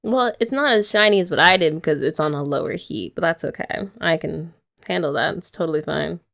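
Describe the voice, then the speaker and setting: A woman's voice: on the low side, fairly quick, creaky, fairly bright tone. A young woman hosting an ASMR girlfriend roleplay, chatty and giggly as she rambles. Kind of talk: soft-spoken ASMR roleplay chat.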